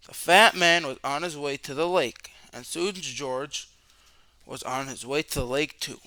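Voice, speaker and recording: A man with a fairly high, very bright voice reads a picture book aloud to young children. His sound is clear and smooth, and he tells it expressively, stretching words and shaping questions with drama. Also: a little slow, no echo, low-quality recording.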